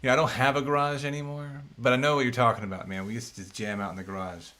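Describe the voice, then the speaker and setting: A man's voice: medium pitch, level tone, rapid-fire, bright, forceful tone. A man in his thirties, co-hosting a casual chat and reading viewer comments aloud in a laid-back, chatty way. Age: 30s. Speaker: co-host.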